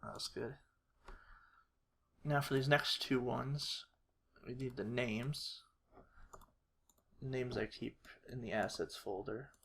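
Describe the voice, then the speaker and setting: A man thinking aloud through a casual screen-recorded tutorial, halting and self-deprecating, muttering to himself. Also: medium pitch, creaky, a bit bright. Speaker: video creator demonstrating editing. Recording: noisy home mic with keyboard clicks. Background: typing and mouse clicks.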